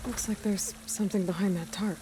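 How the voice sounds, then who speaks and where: A woman's voice: mid-range voice, fairly even intonation, a little fast, very noisy, close to an everyday voice. An actress playing a resourceful, level-headed young woman explorer in a video game, thinking aloud in a matter-of-fact way. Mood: focused, curious. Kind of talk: video game dialogue, musing aloud to herself.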